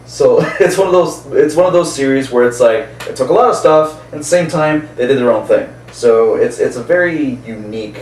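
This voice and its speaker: A man's voice: mid-range voice, lively intonation, a little fast, few pauses, darkish tone, a little hiss or hum. A man co-hosting a casual movie chat in an informal, jokey way. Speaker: fill-in co-host. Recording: echoey room, distant mic, faint ambience.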